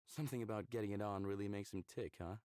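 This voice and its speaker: A man's voice: fairly low pitch, fairly even intonation, fast talker, a little mellow, clean tone, no room sound, studio quality, lightly acted. An actor playing a curious, candid human man in a visual novel, talking casually and a little flirtatiously. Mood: candid, teasing.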